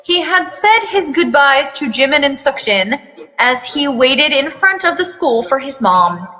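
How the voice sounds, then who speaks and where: A woman reads a story aloud in a slow, dramatic way, dropping in deadpan, sarcastic remarks. Her voice is bright and forceful, and it rings with long reverb. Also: high-pitched. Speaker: reader performing a fan fiction aloud. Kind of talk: dramatic reading with mocking asides.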